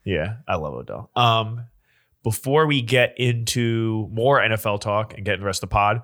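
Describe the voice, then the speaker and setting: Sniffly male co-host with a bright voice, goofy and easily grossed out. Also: fairly low pitch, normal speed. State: sniffly, slightly stuffed up. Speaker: podcast co-host. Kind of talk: casual chat.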